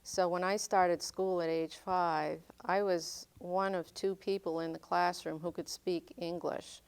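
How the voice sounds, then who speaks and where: An older woman from northern Maine, raised speaking French, talks slowly and thoughtfully. Her voice sits a little low and sounds smooth and clear. She pauses often as she gathers her memories. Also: little pitch movement. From northern Maine, United States. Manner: unhurried, reflective, frequent ums. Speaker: interviewee. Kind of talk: oral history interview.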